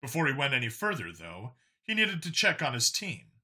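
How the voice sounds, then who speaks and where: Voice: medium pitch, wildly swooping pitch, normal speed, bright, forceful tone, slightly rough. A narrator reading a scripted science fiction story as an audiobook.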